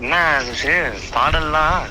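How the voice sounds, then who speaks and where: High, very bright-voiced man. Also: takes it slowly, slight background noise. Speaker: prank call recipient. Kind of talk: casual phone chat. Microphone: on a phone call.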